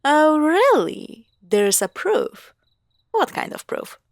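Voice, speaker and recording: A woman's voice: sits high, big pitch swings, no room sound, clean studio sound, heavily acted. A woman playing a skeptical, teasing young girlfriend in an audio roleplay, chatting casually with friends. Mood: amused, skeptical. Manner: casual, teasing, hesitant pauses. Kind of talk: audio roleplay acting, conversational.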